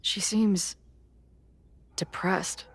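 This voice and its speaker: A woman's voice: medium pitch, steady tone, extremely slow, breathy edge, no room sound, full character voice. An actress playing a weary, wry young woman resigned to death, plain-spoken and sardonic, in naturalistic video game dialogue. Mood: troubled, resigned.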